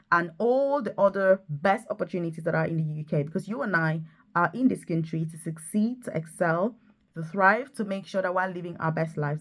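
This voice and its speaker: A woman hosting an enthusiastic promotional vlog, talking straight to viewers in an emphatic, urging manner and repeating points for stress. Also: on the low side. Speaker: video host, nurse and career coach.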